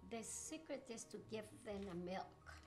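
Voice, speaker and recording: A woman's voice: a little high, expressive, normal speed, darkish tone, faint music behind. An older woman playing a grandmother in a scripted comedy sketch, pushing food and repeating herself with insistence.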